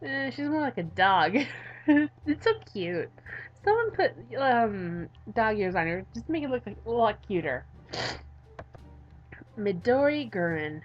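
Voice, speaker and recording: A woman's voice: mid-range voice, big pitch swings, measured pace, pauses often, muffled recording. A woman with a cold giving theatrical, playful let's play commentary as she reads a visual novel aloud. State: has a cold, coughing. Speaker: gaming video host.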